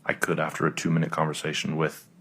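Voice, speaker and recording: A male investigator testifying in a courtroom, answering in a halting, careful, hedging way. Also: very deep voice, completely flat delivery, a bit bright, breathy edge. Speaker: police investigator testifying as witness.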